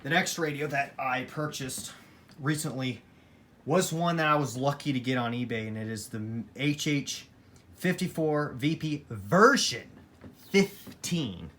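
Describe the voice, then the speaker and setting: A man's voice: medium pitch, a little slow, fairly bright tone. Man casually reviewing gear, noisy recording. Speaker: hobbyist collector presenting weather radios. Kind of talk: casual rambling product review.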